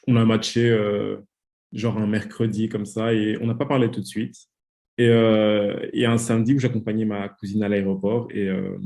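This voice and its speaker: A man's voice: on the low side, creaky, a little mellow. A man in his twenties from Congo, a podcast guest telling his story in a hesitant, casual way with many fillers. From Democratic Republic of the Congo. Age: 20s. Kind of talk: casual interview talk.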